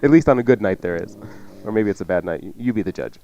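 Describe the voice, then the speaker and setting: A man's voice: on the low side, lively intonation, fast, dark tone, dull audio. A man hosting a cooking show on the radio, chatting casually and jokingly as he gives folksy recipe instructions. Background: music under the talk.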